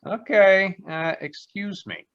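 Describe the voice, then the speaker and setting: Man voicing a hesitant young worker, somewhat high voice, dull lo-fi call audio. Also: takes it slowly, fairly bright tone, smooth, full character voice. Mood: nervous. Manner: hesitant, stammering. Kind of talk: radio drama read live over video call.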